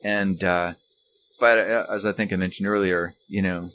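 A man's voice: deep voice, fairly even intonation, normal speed, fairly bright tone. A middle-aged or older man chatting casually as a co-host, rambling and repeating words as he goes. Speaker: co-host, author and former radio recording engineer. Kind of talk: casual unscripted conversation.

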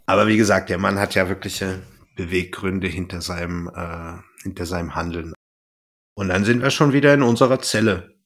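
A man with a fairly low voice talks as a podcast co-host. He retells a story in a loose, colloquial way, with jokey turns of phrase, as part of a casual chat. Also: moderate pace, fairly bright tone.

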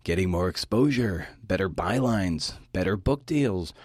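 A man's voice: low-pitched, lively intonation, measured pace, darkish tone, husky, no room sound, studio quality. A man hosting a podcast, delivering a scripted talk that links interview clips. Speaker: podcast host giving a talk.

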